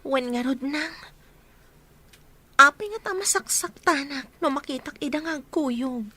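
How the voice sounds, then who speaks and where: Radio drama: jealous young daughter, very high pitch, sharp tone. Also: female voice, unhurried. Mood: hurt, sad.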